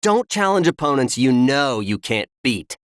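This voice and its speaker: A smug, self-serious male card adviser from a video game. He speaks pompously and deliberately in a middle register. His pitch moves around a lot, and the sound is close and dry. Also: big pitch swings, no room sound. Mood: self-assured. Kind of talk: video game character dialogue.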